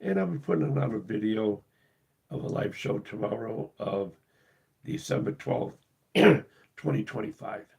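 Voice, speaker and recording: A man hosting his cruise channel live, losing his voice and talking in a halting, casual way with many pauses and restarts. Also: lowish voice, lively intonation, darkish tone. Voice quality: husky. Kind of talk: casual live-stream talk.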